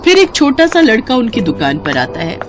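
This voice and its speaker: A woman's voice: a little high, huge pitch swings, moderate pace, music in the background. A woman storyteller reading scripted moral-story narration.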